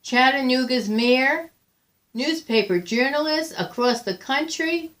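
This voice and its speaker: Female storybook reader. Slow, deliberate delivery, animated intonation, drawn-out key words. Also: sits high. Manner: deliberate, expressive, with drawn-out emphasis and pauses. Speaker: volunteer picture book reader. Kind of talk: read-aloud storytelling of a children's picture book.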